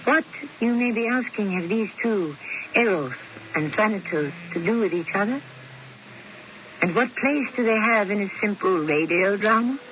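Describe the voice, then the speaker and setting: A woman's voice: mid-range voice, animated, moderate pace. Theatrical woman hosting old radio drama. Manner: dramatic, lilting, hushed delivery. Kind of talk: theatrical scripted introduction. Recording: muffled old radio recording with hiss.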